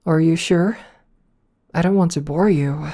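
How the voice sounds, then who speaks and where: A woman playing a rich, possessive, seductive female CEO in an ASMR roleplay, softly formal and coaxing, with a politely commanding manner. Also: low-pitched. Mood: politely attentive. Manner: slow, softly formal, coaxing with pet names.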